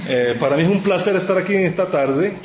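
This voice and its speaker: A man's voice: high-pitched, fast talker. A middle-aged or older man with a Puerto Rican accent, speaking as a guest professor in an informal, good-humoured public lecture. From Puerto Rico. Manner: good-humoured, self-aware asides. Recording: dull, muffled recording with clear background noise.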